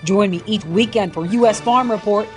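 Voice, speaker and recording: A woman's voice: mid-range voice, normal speed, soft background music. A woman host reading a scripted promo for her farm program in an upbeat, polished way.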